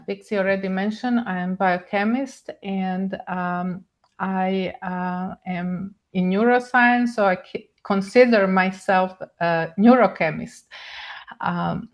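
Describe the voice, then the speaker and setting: An older woman from Croatia with a Croatian accent, giving a webinar lecture in a halting way with many pauses. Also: medium pitch, steady tone. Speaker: guest speaker, neurochemist. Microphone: video call.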